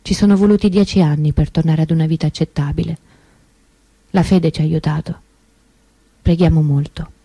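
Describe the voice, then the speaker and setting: An actress playing an anguished, stubbornly hopeful grieving sister in a theatrical radio monologue, intense and emotive with dramatic pauses. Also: female voice, deep voice, steady tone, dark tone, slightly rough, no echo. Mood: sorrowful, hopeful.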